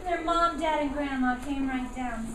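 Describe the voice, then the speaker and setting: High-voiced woman storyteller in an echoey room. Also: normal speed. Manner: animated, coaching and prompting the children. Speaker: puppet theater teacher narrating children's show. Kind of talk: live storytelling to children. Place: echoey room at a school puppet show. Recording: echoey, distant mic, noisy room.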